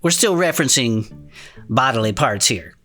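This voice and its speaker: A woman's voice: very deep voice, animated, moderate pace, fairly bright tone, faint music behind, heavily acted. A woman playing a laid-back, teasing cryptid investigator who co-hosts a club show, wry and casual in a comedic audio fiction. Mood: teasing, curious.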